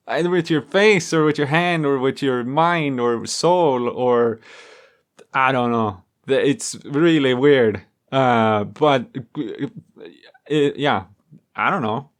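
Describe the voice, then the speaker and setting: A man hosting a casual music gear vlog, talking to viewers in a rambling, hesitant way and often trailing off mid-thought. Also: mid-range voice. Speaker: music gear video creator and host.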